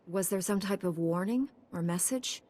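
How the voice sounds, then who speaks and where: An actress playing a calm, direct young heroine knight in a video game cutscene. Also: female voice, mid-range voice, normal speed, lightly acted. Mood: steady, concerned.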